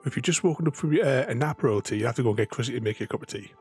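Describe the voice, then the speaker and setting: Hoarse, gravelly rambling streamer. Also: male voice, mid-range voice, lively intonation, very fast, a little mellow, soft background music. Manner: rambling, laughs often, many fillers. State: losing his voice. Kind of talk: casual gaming stream chat.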